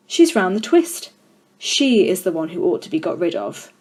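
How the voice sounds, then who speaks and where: Storybook teacher: woman playing a mild lady, measured and quietly reproving. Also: on the low side, big pitch swings. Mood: disapproving. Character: mild, disapproving woman schoolteacher.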